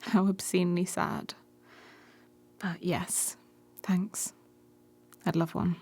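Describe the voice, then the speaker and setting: An actress playing a brash, bitter, flirtatious runaway bride in a naturalistic radio play, blunt and sardonic in manner. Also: female voice, lowish voice, flat delivery, takes it slowly, darkish tone, husky. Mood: dry, flirtatious. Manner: blunt, sardonic, foul-mouthed.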